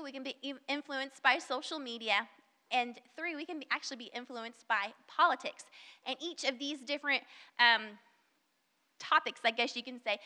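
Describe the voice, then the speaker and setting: A woman's voice: sits high, bright, forceful tone, long reverb tail. A young woman with a Southern US accent, preaching an earnest, conversational sermon at a church service and reading scripture. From Southern United States. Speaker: guest speaker at a church service. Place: church sanctuary.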